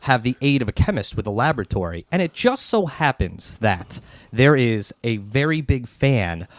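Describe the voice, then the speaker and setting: A man running a tabletop horror game, narrating scenes and rulings in measured, deliberate phrases. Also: mid-range voice, very animated, no room sound. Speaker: game master.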